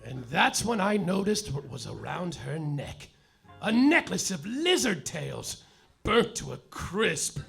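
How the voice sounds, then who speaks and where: An actor playing a bumbling, melodramatic private detective, narrating in a spoofed hardboiled style and bursting into shouted outbursts, on a live theater stage. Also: male voice, a little high, very animated, slow, vocal fry, husky, faint music behind, heavily acted. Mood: brooding. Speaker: actor in a live comedy audio play.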